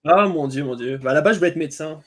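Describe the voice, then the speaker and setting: A man's voice: on the high side, very fast, a bit bright. A man speaking as a guest, introducing himself in a casual, informal, self-deprecating conversational way. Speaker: guest, web agency owner.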